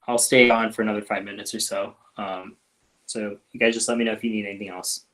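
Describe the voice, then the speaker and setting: Laid-back man with a lowish voice. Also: quick pace. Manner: relaxed, frequent ums and restarts, trails off softly. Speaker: doctor hosting a live Q&A. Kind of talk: casual live-stream Q&A.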